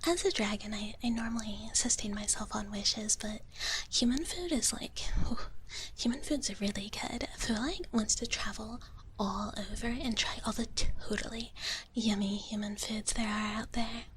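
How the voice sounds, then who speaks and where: A woman streaming live ASMR in a soft, breathy whisper close to the mic, chatting casually and playfully in a gentle dragon-girl persona. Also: mid-range voice, steady tone, normal speed. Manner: playful, in character as a gentle wish dragon, often speaking of herself in third person. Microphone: close ASMR microphone. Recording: clearly audible background noise.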